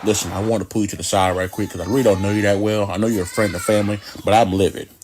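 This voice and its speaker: A man's voice: lowish voice, fairly even intonation, racing, noisy background. A man with an American accent giving an indignant, emphatic comedic monologue as a mock-stern scolding.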